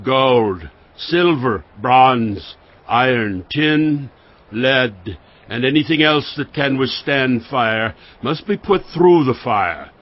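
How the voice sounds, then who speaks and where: A man playing a solemn priest who instructs soldiers in a deliberate way in a dramatised scripture reading. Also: mid-range voice, animated, highs cut off. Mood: solemn instruction.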